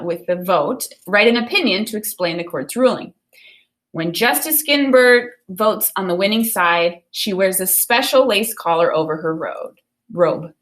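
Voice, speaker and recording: A woman teacher reading a picture book aloud and pausing to explain it, often checking for understanding. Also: mid-range voice, fairly bright tone.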